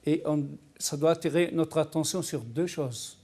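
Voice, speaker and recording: A man with a fairly high but soft, mellow voice talks about faith as a panel guest. He explains haltingly, repeating words as he builds each point. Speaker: religious scholar guest. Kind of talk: religious talk show discussion.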